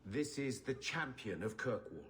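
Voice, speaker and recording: A man's voice: medium pitch, expressive, unhurried, a bit bright. An actor playing a dignified older nobleman in a video game, with a dry, formal manner. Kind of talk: video game cutscene acting.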